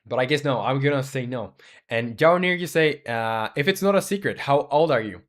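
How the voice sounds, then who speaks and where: A man's voice: medium pitch, expressive, brisk, a bit bright. Young man thinking aloud while coding. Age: 20s. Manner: rambling, thinking aloud with fillers, answering chat. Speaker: game developer streamer. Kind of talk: casual live-stream chat.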